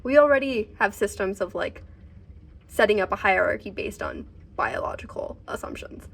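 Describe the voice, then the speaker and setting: A woman chatting casually about books in a vlog, halting as she thinks aloud. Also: medium pitch, expressive, vocal fry, fairly bright tone, slight background noise. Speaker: book reviewer and vlogger.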